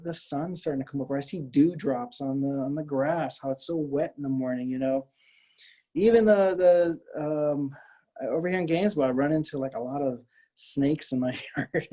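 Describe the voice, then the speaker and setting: A man's voice: a little high, dark tone. A middle-aged American man from the Miami area talking casually as an artist being interviewed, in a hesitant, rambling way with repeated words. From Miami area, Florida, US.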